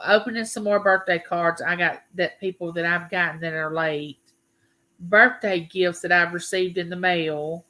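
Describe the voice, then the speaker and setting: A woman in her fifties with a Southern US accent hosts a relaxed livestream chat, talking to viewers like neighbors. Her voice is bright and forceful, and her phrases are folksy and drawn out. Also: lowish voice. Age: 50s. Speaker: YouTube livestream host. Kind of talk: casual live chat with viewers.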